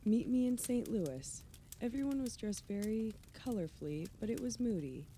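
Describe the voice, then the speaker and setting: A woman's voice: a little high, animated, normal speed, dark tone. A woman acting a breezy, witty friend in a conversational radio play. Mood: amused. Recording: faint background noise.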